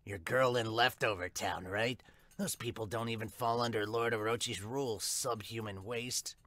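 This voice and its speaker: A man's voice: on the low side, steady tone, normal speed, fairly bright tone, no room sound, pitched below the performer's own voice, lightly acted. A man voicing a cruel, scheming mouse henchman from a manga, with a sneering, goading delivery. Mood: contemptuous.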